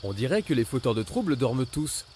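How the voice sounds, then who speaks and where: A man narrating a children's cartoon, gently questioning and reassuring the characters. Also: mid-range voice, very animated, brisk, background noise.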